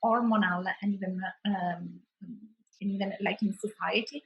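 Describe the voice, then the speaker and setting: A woman from Italy with an Italian accent speaks as a guest in a relaxed interview. Her voice sits at a middle pitch. She talks in a thoughtful way, often pausing, restarting and filling gaps with small sounds. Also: creaky, dull audio. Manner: thoughtful, many restarts and fillers. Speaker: wellbeing consultant guest. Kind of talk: conversational interview.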